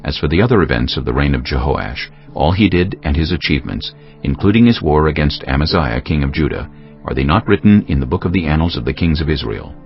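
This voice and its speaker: An extremely deep male voice narrates scripture solemnly, with dramatic rises and falls. The delivery is measured, and the slightly dull recording has music underneath. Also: expressive. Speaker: audiobook narrator. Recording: dull audio with music behind.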